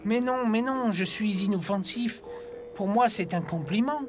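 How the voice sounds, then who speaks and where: A man as a high, curious cartoon creature. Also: a little slow. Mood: friendly. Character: friendly, curious animal castaway from Alaska. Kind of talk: dubbed low-budget cartoon dialogue. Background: music.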